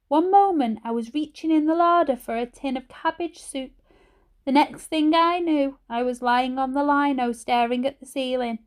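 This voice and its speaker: A woman voicing a frail, kindly old grandmother in hospital with a croaky, slightly slurred voice, for a children's storybook. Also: high voice, voice pushed up, lightly acted. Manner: slightly slurred, slow speech.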